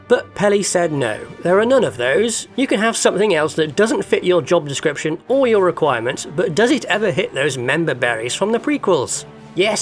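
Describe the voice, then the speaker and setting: A man presenting scripted opinion commentary as a video essay host, with a wry, critical manner. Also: fairly high pitch. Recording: background music behind voice. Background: music.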